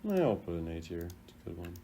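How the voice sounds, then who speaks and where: A man giving casual, chatty commentary on game voice lines in an animated, playful way. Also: sits low, fairly quick, slight background noise. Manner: animated, acts out imagined quotes in exaggerated voices. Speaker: gaming content creator.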